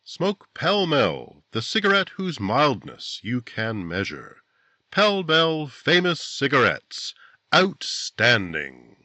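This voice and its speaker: A man's voice: medium pitch, huge pitch swings, bright, forceful tone, no room sound. A man narrating dramatically in the style of a 1950s radio drama. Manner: dramatic, measured second-person storytelling. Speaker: narrator and announcer.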